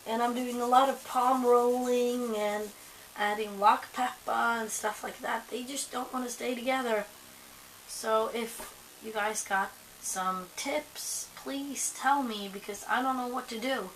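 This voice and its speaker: A young woman with a hoarse voice from a cold, chatting casually to camera at home, rambling with lots of ums and likes. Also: on the high side, steady tone, a little slow, slight background noise, poor audio. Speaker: vlogger.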